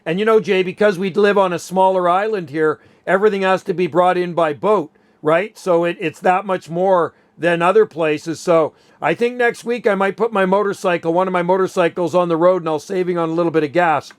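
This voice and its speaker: A man's voice: sits high, fairly quick, hardly any pauses, no echo. A man chatting casually and enthusiastically as a radio hobbyist in his radio room at home. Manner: enthusiastic, informal.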